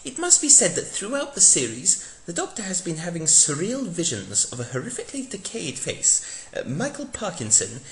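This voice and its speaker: Guy doing a theatrical fanfic reading as narrator, lively pitch, echoey room. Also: slight background noise. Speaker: narrator, dramatic reader. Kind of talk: mock-dramatic scripted fan fiction reading.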